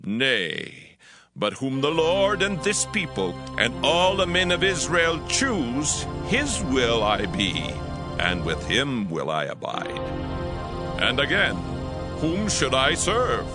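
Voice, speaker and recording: A man playing a courtier who pledges loyalty with measured, declamatory flattery in a dramatized scripture reading. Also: medium pitch. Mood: fawning, earnest. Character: smooth-talking courtier pledging loyalty to new king. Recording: loud background music.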